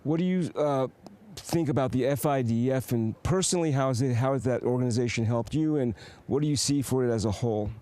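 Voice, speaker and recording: A man's voice: medium pitch, fairly quick, few pauses, dark tone. A middle-aged man speaking earnestly as a television host and interviewer.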